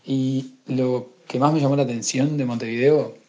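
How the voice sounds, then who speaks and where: Uruguayan man, low voice, flat delivery, matter-of-fact. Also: normal speed, muffled recording. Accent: Uruguayan Spanish. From Uruguay, from the interior.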